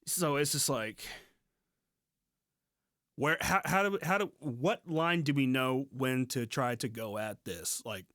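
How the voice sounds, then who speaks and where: A man's voice: mid-range voice, slow, a bit bright, no echo, studio quality. An American man hosting a podcast, chatting casually in informal slang and often restarting his phrases. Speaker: podcast host. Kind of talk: casual music podcast chat.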